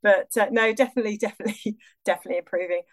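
A woman with a medium-pitched voice co-hosts a podcast. She is chatty and effusive, leaning on emphatic words in a casual conversational style. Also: fairly even intonation, rapid-fire. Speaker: podcast co-host. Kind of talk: casual chat.

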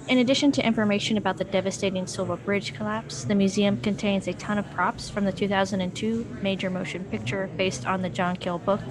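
A woman giving scripted narration for an audio documentary in an even, measured reading voice. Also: mid-range voice.

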